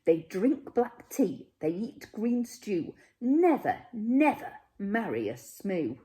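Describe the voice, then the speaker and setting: A woman's voice: medium pitch, very animated, dark tone. Woman playing a stern, scolding old alien grandfather. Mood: angry, scolding. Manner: emphatic, slow scolding repetition.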